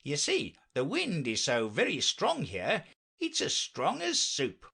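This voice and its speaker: Storybook knight, done by a male narrator: earnest, bumbling old eccentric, fussy emphasis, pitch swinging widely. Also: huge pitch swings, slow pace, gravelly, bright, forceful tone, husky, full character voice. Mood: anxious.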